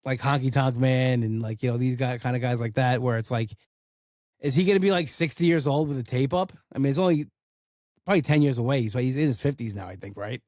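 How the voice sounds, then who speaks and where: A man's voice: medium pitch, fast talker, a little mellow, no echo, studio quality. A man in his forties who grew up in an Italian household, hosting a podcast in casual, rambling chat. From Italian-American household. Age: 40s. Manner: rambling, full of "like" and restarts. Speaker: podcast host.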